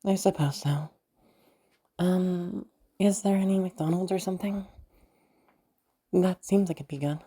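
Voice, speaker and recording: A woman performs a composed, logical child from a rich family in an audio drama. The voice is slow and husky. The child stays polite and matter-of-fact. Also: lowish voice, warm tone, voice pushed up. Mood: calm, cautious.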